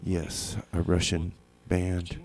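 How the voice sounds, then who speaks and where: A man hosting a community radio music show, chatting casually in a halting, rambling way with deadpan comedy. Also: sits low. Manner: hesitant, rambling, halting with repeated words. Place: small community radio studio.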